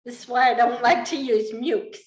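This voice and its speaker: A woman's voice: fairly high pitch, moderate pace, roomy sound, muffled recording. A woman casually introducing herself as a podcast guest.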